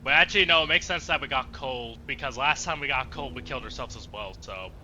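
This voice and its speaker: A man casually commenting on a game he plays, chatty and excitable, joking and swearing at the characters. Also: mid-range voice, fast, highs cut off, slight background noise. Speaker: let's play host.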